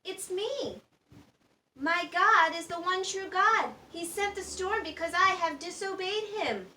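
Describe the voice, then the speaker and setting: A woman's voice: very high voice, animated, measured pace, pushed and bright, recorded from a distance, a little hiss or hum, pitched above the performer's own voice, full character voice. A woman voicing a stubborn but brave man in a storybook, with earnest, emphatic declarations. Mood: confessing.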